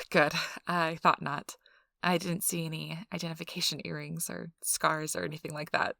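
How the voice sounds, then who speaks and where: Woman playing a low, creaky-voiced ASMR intake interviewer. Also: flat delivery, normal speed, pushed and bright, dry recording, clean studio sound, exaggerated voice. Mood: matter-of-fact. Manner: polite, explanatory, informal office patter. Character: friendly, chatty female intake interviewer at a vampire blood donation center. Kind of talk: ASMR role-play, soft personal-attention interview.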